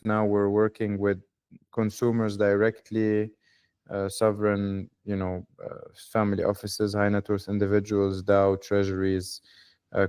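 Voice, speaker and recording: Low, flat-voiced fund manager. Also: male voice, smooth. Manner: hesitant, many fillers, measured. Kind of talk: business pitch on a call.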